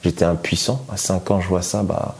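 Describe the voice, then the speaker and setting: A man's voice: sits low, fairly quick, vocal fry, a little mellow. A man born in France telling his personal testimony in a hesitant way, with many fillers and restarts. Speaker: guest giving testimony.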